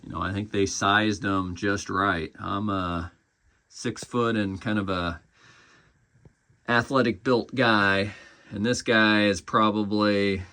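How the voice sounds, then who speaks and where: Man, casual collector review, slow delivery, creaky gravelly voice, conversational, unscripted. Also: lowish voice, steady tone, bright, forceful tone.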